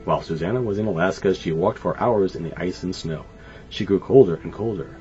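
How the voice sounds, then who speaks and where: A man narrates a children's storybook in a fairly low voice that swings widely in pitch. His delivery is expressive and scripted. The sound is slightly dull, with music playing behind him. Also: brisk. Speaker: storybook reader. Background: light music.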